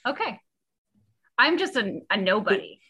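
A woman's voice: medium pitch, big pitch swings, unhurried, bright, forceful tone, breathy edge. A young woman from Ontario, a guest musician chatting casually in an interview, laughing often and trailing off with fillers. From Ontario, Canada.

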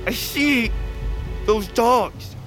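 An actor playing a gravely wounded, fatalistic blind tribesman in an audio drama, speaking weakly and haltingly as he reflects. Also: very high voice, heavily acted. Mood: haunted. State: wounded, weak, halting breath. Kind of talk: audio fiction drama acting. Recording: music bed under the dialogue.

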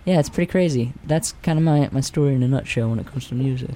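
A man's voice: medium pitch, fast, dark tone. A young man, a singer and guest on a radio show, talking casually in a rambling way with lots of fillers and self-deprecating jokes. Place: radio studio.

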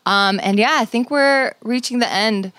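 A woman's voice: on the high side, moderate pace, a bit bright, dry recording. A woman in her thirties, a podcast co-host talking casually, brash and swearing freely as she hypes the show. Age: 30s. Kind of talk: casual podcast chat and sponsor reads. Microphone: headset.

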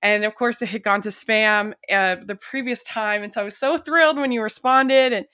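A woman's voice: medium pitch, normal speed, pushed and bright, clean tone. A woman hosting a podcast about film, interviewing her guest in a casual, eager way. She gushes and rambles, circling back over her own sentences as she goes. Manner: enthusiastic, rambling with restarts. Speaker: podcast host.

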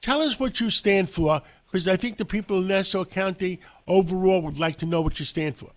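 Older male interviewer in his seventies, slightly high voice, halting delivery. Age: 70s. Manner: halting, with many fillers. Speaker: host. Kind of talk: radio interview.

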